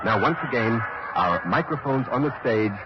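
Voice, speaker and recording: An American actor playing a radio commentator describing events live in a 1940s radio drama style. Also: male voice, medium pitch, expressive, moderate pace, crowd noise, lightly acted. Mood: hushed, calm. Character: male radio reporter narrating from a theater, hushed then urgent. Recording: muffled, noisy 1940s radio recording.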